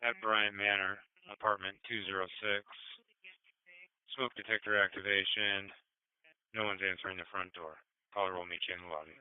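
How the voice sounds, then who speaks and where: A man's voice: low voice, normal speed, clean tone, no room sound. A man working as an emergency dispatcher, reading out calls over two-way radio in terse, procedural phrases. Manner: flat, procedural, clipped phrases. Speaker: fire and medical dispatcher. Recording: narrow tinny police scanner radio feed.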